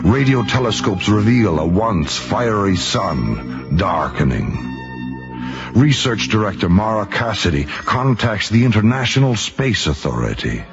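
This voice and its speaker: A man delivering dramatic, documentary-style scripted narration for a radio drama, portentous and deliberate. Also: on the low side, sing-song, over music. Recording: muffled radio drama recording.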